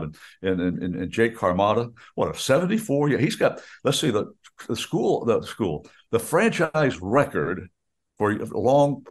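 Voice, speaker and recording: An older American man, a radio play-by-play announcer, chatting about football in a rambling way, full of restarts and asides. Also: fairly low pitch. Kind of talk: casual sports radio chat.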